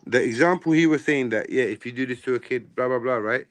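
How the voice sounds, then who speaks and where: A man's voice: medium pitch, quick pace. Insistent forty-year-old man. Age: 40s. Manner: insistent, apologetic, talks over others. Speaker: panel participant. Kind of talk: heated online panel chat. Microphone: home mic on a video call.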